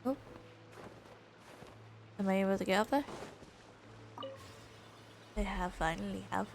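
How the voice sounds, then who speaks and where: A woman gaming streamer giving casual reaction commentary, haltingly thinking aloud as she goes. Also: medium pitch, a little hiss or hum.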